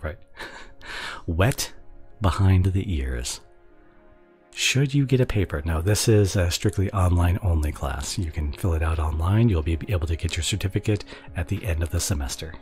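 A man's voice: low-pitched, darkish tone. A man streaming from home, chatting casually with viewers in a rambling, self-interrupting way and laughing often. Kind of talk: casual livestream chat with viewers.

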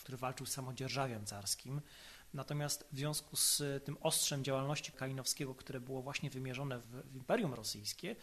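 A man with a medium-pitched voice speaks as an expert commentator. He explains his points in a measured, articulate manner suited to an analytical podcast interview.

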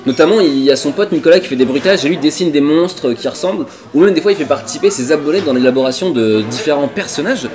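A man's voice: on the high side, expressive, fast, few pauses, darkish tone. A man in his twenties with a French accent, co-hosting a web show in a casual, slangy, joking way. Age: 20s. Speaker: co-host of a web culture show. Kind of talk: casual chatty presenting to camera. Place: diner booth with red seats.

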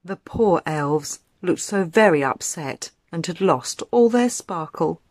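A woman reading a children's story aloud as dramatic, expressive, suspenseful narration. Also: on the low side, slow pace, no echo. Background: music.

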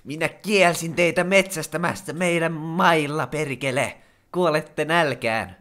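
A man's voice: on the high side, a bit bright, lightly acted. A man voicing a bossy young lion cub in an amateur comedic cartoon dub, blunt, commanding and sweary. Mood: angry, commanding.

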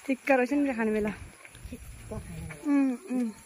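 A woman's voice: high voice, steady tone, normal speed, darkish tone, slight background noise. A young woman in her twenties vlogging casually outdoors, chatting cheerfully to her viewers, filmed on a phone at arm's length. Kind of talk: casual travel vlog. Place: outdoors on a village road.

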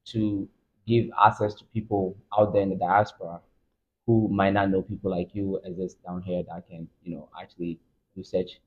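Low-voiced man, thin phone-like sound. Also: flat delivery, normal speed. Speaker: interviewer, channel host. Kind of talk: casual interview. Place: furnished room, seated in armchairs.

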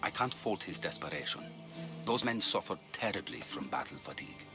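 An actor playing an earnest, weary older physician in a video game, grave and compassionate with a dry wit. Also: male voice, deep voice, big pitch swings, fairly quick. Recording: music behind the voice.

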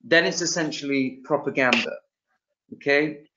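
A man's voice: on the high side, normal speed, background noise. A young man presenting an online teaching webinar, talking loosely with many ums and restarts, from a small room over a video call. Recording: dull lo-fi video-call sound.

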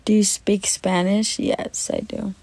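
A woman in her twenties chatting casually on a livestream, lying in bed and answering comments with lots of fillers, in a voice she calls deep. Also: little pitch movement, measured pace, darkish tone, dry recording. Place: bedroom, lying on a bed indoors. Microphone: phone at arm's length.

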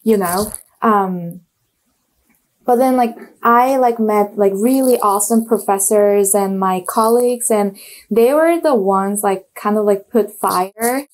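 Young Korean-accented woman, halting. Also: medium pitch, rough recording. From South Korea. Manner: many fillers and restarts, searching for words. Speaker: guest, designer. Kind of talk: casual interview chat. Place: small home room with bookshelves. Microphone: earphone mic on video call.

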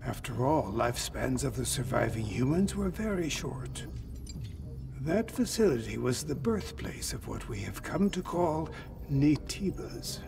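A man's voice: medium pitch, creaky, recorded from a distance, exaggerated voice. A man playing a solemn old android leader in a video game, speaking in a grave, cryptic, formal way. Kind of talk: cinematic video game dialogue.

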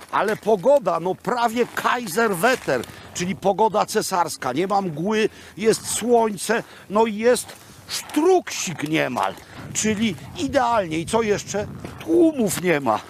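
Slow, creaky man talking on location outdoors. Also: sits high, sing-song. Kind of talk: playful on-location monologue. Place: outdoors on a mountain top. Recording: noisy outdoor location sound.

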